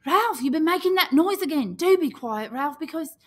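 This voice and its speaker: A woman's voice: high-pitched, expressive, normal speed, pitched above the performer's own voice. A woman voicing an anxious, indignant young schoolboy in a storybook reading, urgent and earnest. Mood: scolding, anxious.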